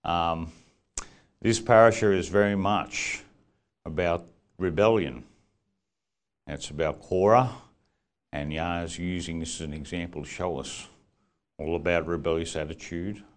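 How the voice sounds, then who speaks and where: A man with a croaky voice leading a congregation in earnest prayer and teaching. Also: sits low, a little slow, pauses often. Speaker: congregation leader.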